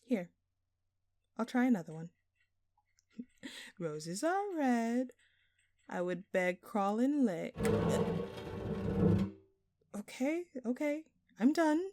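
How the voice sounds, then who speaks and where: Woman acting as a slow, smug, flirtatious thief. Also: fairly high pitch, very animated, a little mellow, faint music behind, exaggerated voice. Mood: teasing. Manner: teasing, theatrical, playfully sarcastic. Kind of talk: intimate scripted audio roleplay.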